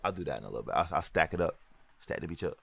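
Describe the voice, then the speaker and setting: A man with a slight lisp chatting casually while playing a video game with a friend, excitable and swearing at the screen. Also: a bass voice, rapid-fire, vocal fry, dry recording. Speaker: gamer. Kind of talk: casual co-op gameplay chat. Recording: music playing behind.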